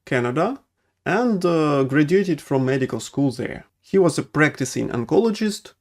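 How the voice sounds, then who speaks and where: A man's voice: a little high, very animated, moderate pace. A man who is a doctor, speaking English as a second language with a non-native accent, explaining medical treatments in a hesitant way with many fillers and restarts. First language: not English. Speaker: oncologist.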